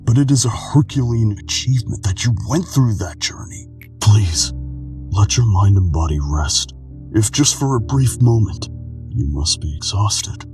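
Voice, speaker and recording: A man plays a weary old keeper of memories from a video game, with a very low voice. He is calm, solemn and melancholic, delivering a measured dramatic monologue over clearly audible music. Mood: grave, reflective, then somber, foreboding. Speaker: amateur voice actor in a game fandub.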